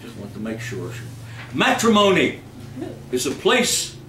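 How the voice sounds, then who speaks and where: Slow-talking man hosting in an echoey hall. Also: mid-range voice, very animated, a bit bright, far from the mic. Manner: halting, repeats words, jokey. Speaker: host of a live holiday readers theater. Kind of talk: informal live stage presentation with comic readings. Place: live before an audience in an echoey room. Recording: echoey, noisy hall recorded from afar.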